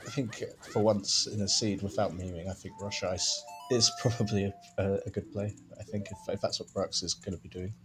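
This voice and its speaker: A man doing relaxed live commentary on a game tournament, hesitant at times and chuckling often. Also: on the low side, a little fast, hardly any pauses, over music.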